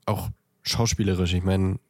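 A man chatting casually as a podcast co-host, thinking aloud in a halting way as he ranks things. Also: low-pitched, fairly even intonation, no echo, studio quality. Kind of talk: casual chat, ranking films.

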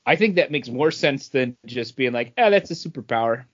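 A man's voice: medium pitch, expressive, a little fast, no room sound. Male podcast co-host, mid-range voice, loose rambling talk, dry and slightly dull recording. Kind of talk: casual, rambling chat about comic book movies.

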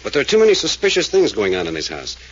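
A blunt probing detective. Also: male voice, medium pitch, huge pitch swings, very fast, husky. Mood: insistent. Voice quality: blunt, insistent questioning. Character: blunt, probing adult male private detective. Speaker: hired detective. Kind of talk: 1940s radio acting. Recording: dull lo-fi old radio recording with faint hiss.

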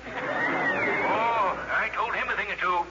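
A man's voice: sits high, huge pitch swings, slow, heavily acted. An actor playing a pompous middle-aged businessman, oratorical and easily flustered, in a 1950s radio comedy. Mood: pompous, indignant. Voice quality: oratorical, hesitant when flustered. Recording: muffled, noisy old radio broadcast.

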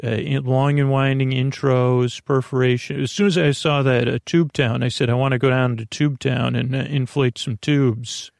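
Gravelly guy doing a rambly bedtime podcast, keeps losing his thread, really dry close sound. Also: mid-range voice, fairly even intonation, a little mellow, no echo. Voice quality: gravelly, creaky voice. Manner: halting, repeats words, loses the thread and circles back. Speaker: podcast host.

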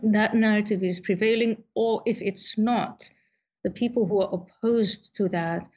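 A woman from Somalia, a guest author, answering interview questions in a measured, thoughtful way with frequent pauses and fillers. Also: medium pitch, fairly even intonation, lo-fi sound.

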